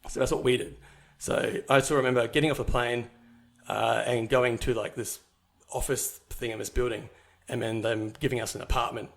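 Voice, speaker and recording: A man's voice: medium pitch, fairly even intonation, moderate pace, creaky. Rambling man telling his life story. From New Zealand. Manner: rambling, with many fillers and restarts. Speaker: podcast guest. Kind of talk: casual storytelling chat.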